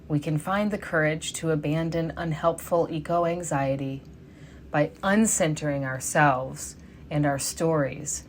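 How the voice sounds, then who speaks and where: A woman delivering a read sermon at a church service, earnest and measured. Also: deep voice, a little hiss or hum. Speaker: lay sermon speaker.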